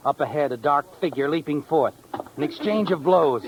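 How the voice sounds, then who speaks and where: An actor playing an ominous, knowing storyteller, narrating a 1950s radio mystery with sardonic, suspenseful phrasing. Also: male voice, fairly high pitch, expressive, moderate pace, background noise. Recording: old radio recording, music behind.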